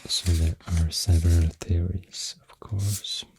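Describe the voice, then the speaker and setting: A man with a Swedish accent speaking softly and breathily, often close to a whisper, in a soothing ASMR ramble, close to the microphone. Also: flat delivery, noisy background. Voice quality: deep, soft, breathy, often near whisper. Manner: slow, hushed, soothing. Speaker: ASMR host. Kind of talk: soft-spoken ASMR rambling.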